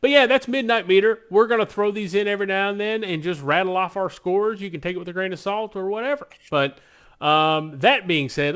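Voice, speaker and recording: A man's voice: high-pitched, fairly bright tone, clear, resonant tone. A man co-hosting a podcast, chatting casually and giving his opinions with enthusiasm and emphasis. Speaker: podcast co-host. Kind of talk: casual podcast chat about games and films.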